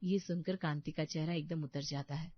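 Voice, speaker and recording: A woman's voice: sits low, fairly even intonation, moderate pace, dry recording, muffled recording. A woman narrating a scripted story as a storyteller.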